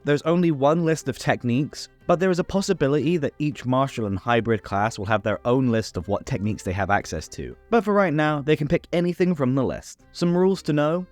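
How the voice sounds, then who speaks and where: A man's voice: medium pitch, no echo. A man hosting a tabletop game tutorial, narrating a scripted explainer step by step with informal asides.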